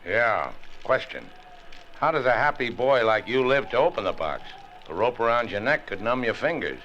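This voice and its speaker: A man's voice: mid-range voice, big pitch swings, normal speed, a bit bright. A man playing a tough, unflappable, wisecracking hero in a hardboiled old radio drama, with a dry, sardonic delivery. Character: tough, unflappable, wisecracking boat-owner hero held at gunpoint. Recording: muffled old radio recording, faint noise.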